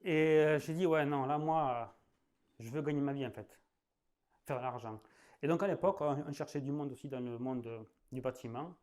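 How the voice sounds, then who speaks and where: A man's voice: mid-range voice, normal speed, darkish tone. Southern French man, chatty and loose. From southwest France. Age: 30s. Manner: chatty, loose, full of fillers, laughs at himself. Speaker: sales trainer. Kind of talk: casual spoken masterclass.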